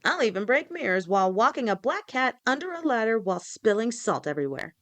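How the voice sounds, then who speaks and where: A woman voicing a gentle, protective snake-woman girlfriend who talks softly and reassuringly to her partner in an audio roleplay. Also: a little high, sing-song, a little fast. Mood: playful. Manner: soft, reassuring, speaks directly to a partner.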